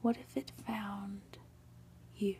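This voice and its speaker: Storybook professor, male, grave and lecturing played by a woman, breathy whisper, very measured, faint noise behind. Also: mid-range voice, fairly even intonation, mellow, lightly acted. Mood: ominous. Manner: portentous, lecturing, drawn-out dramatic pauses. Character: male professor, ominous, pompous, fond of grand theories.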